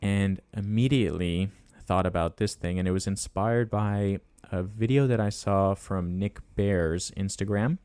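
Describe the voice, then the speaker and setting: A man's voice: low voice, unhurried, few pauses, darkish tone, clear, resonant tone, no room sound. A man in his twenties hosting a casual fitness podcast, chatty and laughing as he tells stories. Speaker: podcast host and coach.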